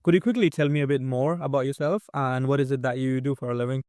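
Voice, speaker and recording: A man's voice: medium pitch, steady tone, darkish tone, no echo, clean studio sound. A man hosting a podcast interview, asking questions and reacting enthusiastically. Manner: enthusiastic, quick questions and affirmations. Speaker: podcast host.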